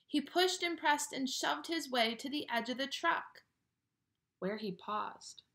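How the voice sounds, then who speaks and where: A woman reading a children's picture book aloud with expressive, dramatic storytelling delivery. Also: high-pitched, slow.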